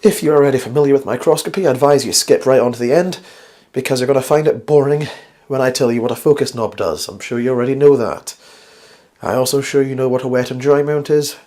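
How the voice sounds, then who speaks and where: A man with a slightly croaky, flu-worn voice hosts a casual instructional science vlog, chatty and rambling with wry, self-deprecating asides. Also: medium pitch, fast, darkish tone. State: getting over the flu. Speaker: host of a science video series.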